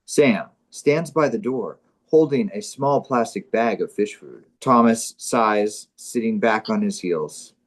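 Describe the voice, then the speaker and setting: A man performing on a comedy show, bantering casually and reading through a script in a mock-serious, deadpan way. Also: fairly low pitch, takes it slowly, few pauses.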